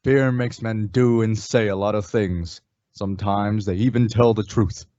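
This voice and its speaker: An actor playing a calm, determined male sheriff in an old-time radio western, measured and resolute. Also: on the low side, dry recording, close to an everyday voice. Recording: dull lo-fi audio.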